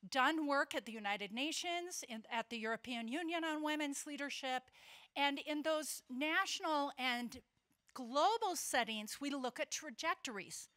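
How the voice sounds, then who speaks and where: An older woman giving a conference keynote speech, earnest and emphatic, slowing down to stress key points. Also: high voice, pushed and bright. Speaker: keynote speaker. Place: convention hall.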